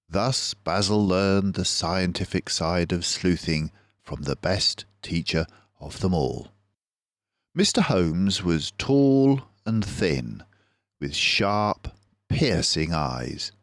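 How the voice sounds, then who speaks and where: An older man reading a children's storybook aloud as narrator, in a measured, deliberate manner. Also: low-pitched, no room sound, studio quality.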